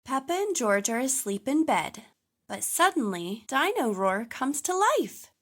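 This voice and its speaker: A woman reading a children's story aloud as host, with enthusiastic, clearly phrased narration for toddlers. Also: high voice, sing-song, unhurried.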